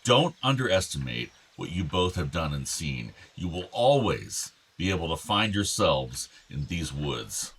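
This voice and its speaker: An actor playing an ailing, tender older father in a radio play, reflective and gently earnest. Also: sits low, sing-song, measured pace, few pauses, slightly rough, exaggerated voice. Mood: proud, tender. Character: ailing, tender, wistful aging father of two grown sons.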